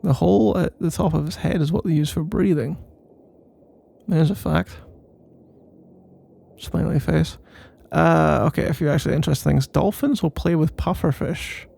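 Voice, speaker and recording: A man's voice: fairly high pitch, fairly even intonation, normal speed, gravelly, a little mellow. A man doing a casual, rambling ASMR boyfriend roleplay in a playful, mock-boastful and meandering way.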